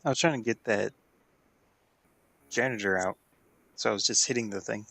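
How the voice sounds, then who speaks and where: A man's voice: lowish voice, normal speed, vocal fry, sharp tone. A man chatting casually as a player in a tabletop role-playing game, saying what his character does.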